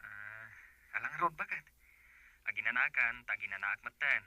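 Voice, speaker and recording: A man's voice: on the low side, expressive, normal speed, a crowd in the background, natural voice. A man acting a practical husband who works in the city, in an Ilocano radio drama. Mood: caring.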